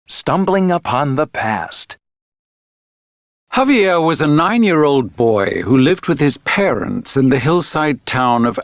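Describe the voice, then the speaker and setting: A man reading a children's read-along story as a narrator, deliberate and expressive. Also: medium pitch, dry recording, studio quality. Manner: deliberate, clearly phrased, expressive reading for learners. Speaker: textbook audio narrator.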